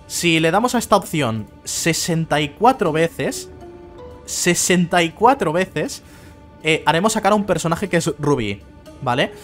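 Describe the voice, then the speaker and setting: Chatty Spanish-speaking gamer, higher voice. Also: male voice, fairly bright tone. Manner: chatty, reads game text aloud in voices. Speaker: let's play host. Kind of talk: casual gameplay commentary. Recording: faint game music behind.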